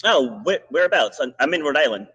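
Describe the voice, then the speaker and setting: A man hosting a motivational podcast over a video call, talking with energetic enthusiasm and rambling restarts. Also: a little high, brisk, slightly rough, lo-fi sound. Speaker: podcast host. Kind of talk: motivational podcast monologue and interview.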